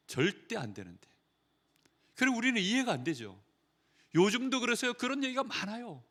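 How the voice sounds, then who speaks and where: A middle-aged man preaching a sermon in a conversational way, asking the congregation questions and telling anecdotes, moving from loud emphasis to quiet trailing phrases. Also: on the high side. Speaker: pastor.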